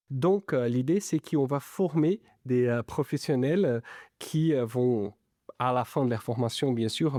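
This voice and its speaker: A man's voice: medium pitch, mellow, no room sound, clean studio sound. A man from Italy and Brazil speaking French with an Italian-Brazilian accent, a non-native speaker answering interview questions as a lecturer-researcher, hesitating often with fillers. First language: not French. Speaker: business school lecturer-researcher.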